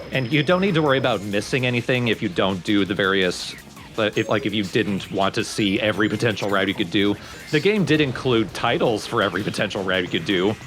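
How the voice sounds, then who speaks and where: Man, lowish voice, casual explanatory game commentary, faint music behind. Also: a bit bright. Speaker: let's play host.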